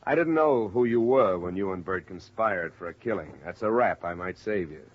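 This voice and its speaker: An American actor playing a tough, wry insurance investigator in a clipped 1950s radio detective style. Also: male voice, deep voice, normal speed. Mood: terse, firm. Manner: clipped, hard-boiled. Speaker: lead actor and narrator. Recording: dull vintage 1950s radio recording.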